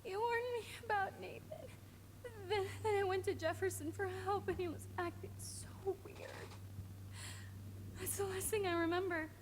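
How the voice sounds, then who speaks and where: A woman's voice: extremely high pitch, fairly even intonation, normal speed, a little hiss or hum. An actress playing a terrified, drugged teenage girl held captive in a video game, crying and pleading. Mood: frightened, tearful.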